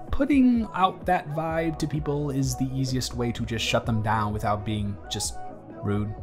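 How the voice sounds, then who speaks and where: A man's voice: mid-range voice, natural voice. A young man acting a wry, awkward but kind college student in an intimate, conversational roleplay audio, with a dry, teasing and hesitant delivery. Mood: candid, a bit guarded. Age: young adult.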